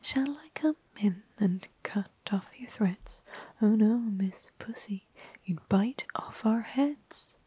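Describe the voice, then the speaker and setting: A woman's voice: medium pitch, slow, warm tone, slightly rough, no echo. A woman voicing a chorus of tiny, cheeky male mice singing rhymes in a whisper. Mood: playful. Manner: whispered sing-song.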